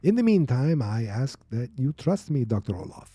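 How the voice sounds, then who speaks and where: A man voicing a grandly secretive Soviet doctor with a put-on, Dracula-like Russian accent, pausing theatrically. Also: mid-range voice, big pitch swings, warm tone, breathy edge, dry recording. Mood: secretive, persuasive. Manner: theatrical, pausing at ends of sentences.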